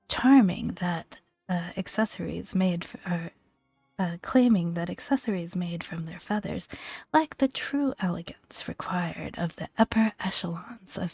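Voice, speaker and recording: Hushed, slow soft-spoken ASMR woman. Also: fairly low pitch, slightly rough, no room sound. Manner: gentle, hushed, often breaking into near-whisper. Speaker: host of a gaming ASMR video. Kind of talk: soft-spoken ASMR, relaxed commentary and read-aloud entries.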